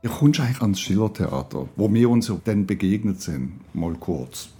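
Deep-voiced older Swiss German man, music behind. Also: lively intonation, normal speed. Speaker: interviewer. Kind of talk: casual reminiscing conversation.

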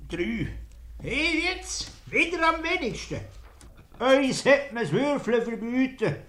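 A man's voice: extremely high pitch, sing-song, slow pace, a bit bright, a little hiss or hum, exaggerated voice. A man playing an aggrieved, querulous countryside peasant who grumbles and complains in a 1950s radio comedy. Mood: sulky, defiant.

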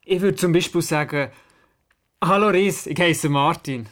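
A man's voice: a little high, unhurried, fairly bright tone, no room sound, clean studio sound. An actor playing a curious, eager young grandson who tells his story earnestly in a children's radio play, with a Swiss German accent. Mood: curious, wondering. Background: music.

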